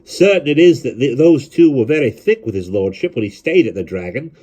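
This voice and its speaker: Male narrator as burly Welsh innkeeper, lilting and firm, husky tone. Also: medium pitch, lively intonation, brisk, mellow, pitched above the performer's own voice. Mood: thoughtful. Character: burly, fair-minded male village innkeeper.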